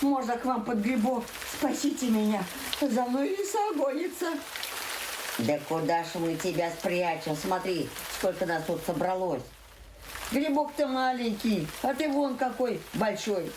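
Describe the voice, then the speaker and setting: Lady doing a scared high bunny, begging for help. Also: expressive, a little slow, echoey room, background noise, natural voice. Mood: panicked. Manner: panicked, pleading. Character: frightened male hare fleeing a fox.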